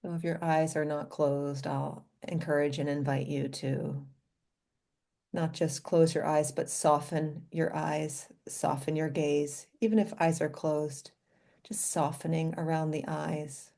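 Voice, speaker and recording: A woman guides a meditation in a low, slightly gravelly voice with a soft, mellow tone. She speaks gently and invitingly, leaving space between short phrases. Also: level tone, a little slow. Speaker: doctor leading a guided meditation.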